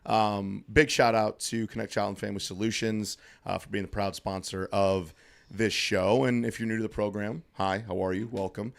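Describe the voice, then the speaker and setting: A man co-hosting a podcast in casual chat, his voice scratchy and hoarse from allergies, upbeat and joking with lots of fillers. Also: lowish voice, steady tone, moderate pace. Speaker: podcast co-host. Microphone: studio microphone.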